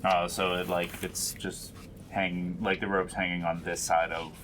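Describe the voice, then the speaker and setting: A man's voice: low-pitched, flat delivery, measured pace, noisy background. A man playing a tabletop game, chatting casually and jokingly with slangy, profane wording.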